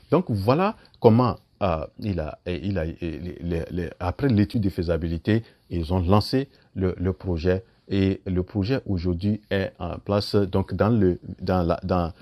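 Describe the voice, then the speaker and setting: A man with a low voice explains a story to camera without a script. His tone is warm and mellow, and he stumbles through it with frequent fillers and restarts. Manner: hesitant, many fillers and restarts. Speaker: channel host. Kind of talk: unscripted explanatory video talk.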